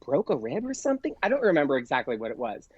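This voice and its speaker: A man chats through an anecdote as a podcast guest in an excitable, gushing way. He peppers it with "like" and voices the dialogue as he goes. The sound is dry and somewhat dull, with a lo-fi edge. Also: medium pitch, very fast, breathy edge, no echo. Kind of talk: casual anecdote, chatty storytelling.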